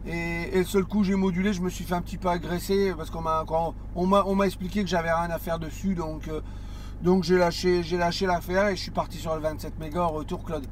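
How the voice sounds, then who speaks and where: Man, high voice, hesitant, restarts a lot, CB radio operator, parked car. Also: flat delivery, brisk, slight background noise. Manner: hesitant, many restarts and fillers. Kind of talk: casual radio chat.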